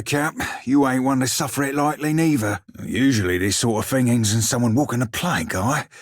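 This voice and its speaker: Little male pirate shiphunter, raspy, roguish, wry seafaring dialect, video game character, very dry studio sound. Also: medium pitch, dry recording. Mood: dry, sardonic. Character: small, roguish, salty blue pirate shiphunter.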